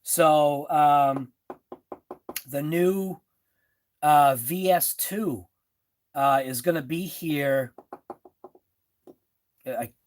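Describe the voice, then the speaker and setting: A man's voice: on the high side, a little hiss or hum. A middle-aged man with a Boston accent, casually chatting on a live stream and answering viewers' comments in a rambling, laid-back way. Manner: slow, rambling, with fillers and laughs. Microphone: phone.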